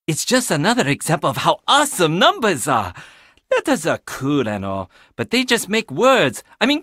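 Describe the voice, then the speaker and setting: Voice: medium pitch, fairly bright tone, no echo, clean studio sound, exaggerated voice. An actor playing a quirky, chatty young handyman who loves puzzles in a video game, enthusiastic with sardonic, casual asides. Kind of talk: video game character dialogue.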